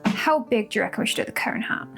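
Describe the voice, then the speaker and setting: A woman's voice: quick pace, a bit bright. Casual woman vlogger over music. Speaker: costume-making vlogger. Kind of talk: casual chatty craft vlog with voice-over narration.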